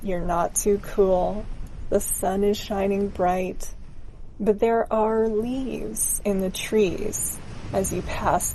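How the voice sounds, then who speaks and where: A woman narrating a scripted guided meditation in a gentle, soothing, unhurried manner. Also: mid-range voice, slight background noise, low-quality recording.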